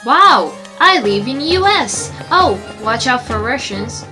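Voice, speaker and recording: A woman's voice: high-pitched, sing-song, slow pace, over music. Fed-up young woman, rambling. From Romania. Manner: exasperated, rambling with fillers. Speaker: toy video maker answering comments. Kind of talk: casual vlog Q&A.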